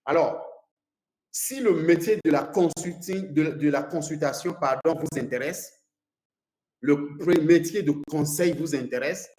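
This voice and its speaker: A man from Nigeria whose first language is English, a consultant and coach giving a seminar to a live audience, speaking haltingly with many fillers and repeated words. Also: fairly high pitch, a little mellow, echoey room.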